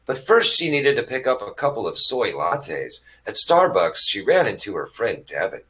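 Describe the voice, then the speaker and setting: Man narrating with very animated, theatrical delivery. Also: medium pitch, moderate pace, low-quality recording. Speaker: sketch narrator. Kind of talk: theatrical scripted comedy narration.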